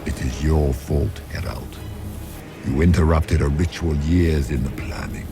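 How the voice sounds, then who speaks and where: Ancient would-be god for a fantasy game: towering, arrogant darkspawn, bottomless low pitch, unhurried grandiose delivery. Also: male voice, fairly even intonation, over music. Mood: cold contempt. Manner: grandiose, imperious, slow deliberate pronouncements. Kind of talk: fantasy video game villain acting.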